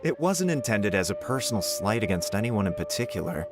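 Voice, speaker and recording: An actor playing a pompous, condescending official in a video game, speaking in a haughty, patronizing way. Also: male voice, fairly low pitch, very animated, brisk. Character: pompous male job candidate, condescending official. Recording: game music behind the voice.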